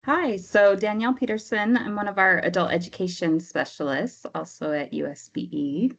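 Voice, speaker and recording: A woman's voice: medium pitch, normal speed, gravelly. A woman introducing herself on a video call in an upbeat, friendly way. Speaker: education specialist. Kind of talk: casual introduction. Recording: dull lo-fi audio.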